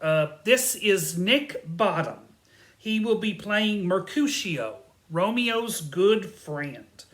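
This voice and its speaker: A woman playing an earnest, slightly bossy amateur theatre director in a comic audio drama, announcing things deliberately. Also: mid-range voice, animated. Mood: officious.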